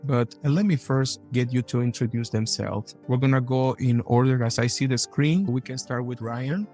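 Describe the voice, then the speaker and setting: A man of middle pitch hosts a panel over a video call, keeping things moving with short, upbeat cues. Faint music sits underneath his voice. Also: moderate pace, darkish tone. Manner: brief, upbeat prompts. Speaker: podcast host. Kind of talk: moderating a panel.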